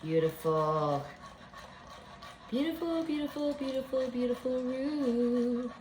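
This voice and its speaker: A woman's voice: fairly high pitch, lively intonation, slow pace, a little mellow, reverberant, slight background noise, low-quality recording. Chatty woman in her fifties cooking. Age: 50s. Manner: chatty, step-by-step, cheerful. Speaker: home cooking vlogger. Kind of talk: casual cooking demonstration. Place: home kitchen.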